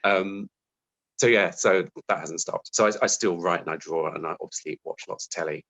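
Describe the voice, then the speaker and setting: Male interview guest, British, on the lower side in pitch, conversational and modest about himself. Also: normal speed, vocal fry, fairly bright tone, low-quality recording.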